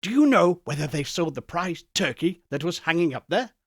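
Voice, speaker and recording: A giddy, reformed old miser, bursts with childlike glee. His voice leaps high and swings widely as he exclaims like someone newly freed. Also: big pitch swings, normal speed, dry recording, studio quality. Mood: eager delight. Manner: exclamatory, gleeful, whooping.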